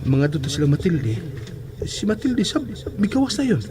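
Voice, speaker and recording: A man's voice: fairly high pitch, big pitch swings, moderate pace, crowd noise. A man playing a dismissive schoolboy urging a classmate along in a horror audio drama, over background noise. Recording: noisy, with ambience behind the voice.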